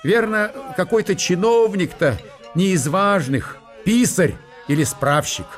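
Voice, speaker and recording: A man narrating a radio play in a measured storytelling manner. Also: high voice, lively intonation, faint music behind.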